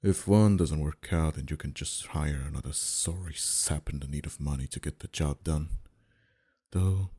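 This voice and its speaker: A man playing a smug, flirtatious and menacing mafia boss in an intimate ASMR roleplay, dominant and teasing, sometimes dropping to a breathy whisper. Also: moderate pace. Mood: intrigued. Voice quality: very deep, dark, husky.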